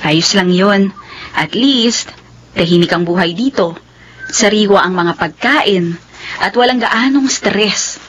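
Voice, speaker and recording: A woman playing a gentle young city woman who longs for a simple life, in a Filipino radio drama. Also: medium pitch, slow pace, long pauses, slight background noise. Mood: friendly, curious.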